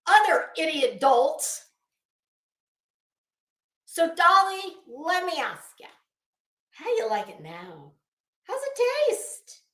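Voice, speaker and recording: A woman's voice: very high voice, fairly bright tone. A middle-aged woman giving an unscripted, rambling commentary rant in a sardonic, scornful, sweary manner. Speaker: online commentary vlogger. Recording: echoey room.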